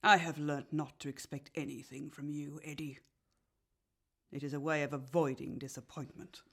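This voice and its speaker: A woman's voice: sits low, level tone, moderate pace, fairly bright tone. A woman playing a grieving, bitterly disappointed genteel Victorian lady in a radio play. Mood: bitter resignation. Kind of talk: period radio play acting.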